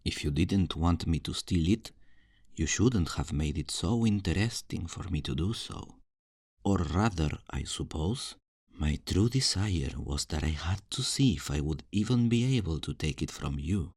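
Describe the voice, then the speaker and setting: A man's voice: animated, a little slow, darkish tone, breathy edge, dry recording, studio quality, heavily acted. A man playing a cocky, flirtatious thief in a fantasy roleplay, teasing and theatrical, speaking straight to the listener. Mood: teasing, amused. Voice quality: low voice. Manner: teasing, theatrical, smugly self-assured, uses endearments.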